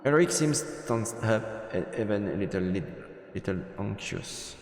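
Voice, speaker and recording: A man's voice: lowish voice, expressive, a little slow, darkish tone. A man streaming a game, reading a visual novel's story narration aloud. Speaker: let's play streamer. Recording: roomy reverberant sound, music behind.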